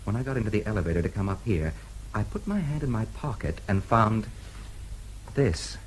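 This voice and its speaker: A man's voice: lowish voice, animated, moderate pace, a little hiss or hum, close to an everyday voice, rough recording. An actor playing a cool, daring American newspaperman in a radio drama, with an American accent and a laconic, matter-of-fact way of talking. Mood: tense, urgent.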